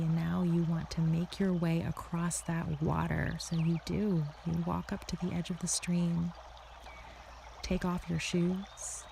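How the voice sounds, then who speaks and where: A woman narrating a guided meditation in a soothing, unhurried, softly spoken way with a creaky voice, leading the listener through an imagined scene. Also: on the low side, a little hiss or hum. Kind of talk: guided meditation, second-person scripted visualization.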